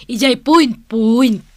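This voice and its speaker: An actress with an Ilocano accent playing a vain, playful, flirtatious auntie in a radio comedy drama. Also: female voice, fairly high pitch, drawn-out words, a little mellow, no room sound. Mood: playful, pleased.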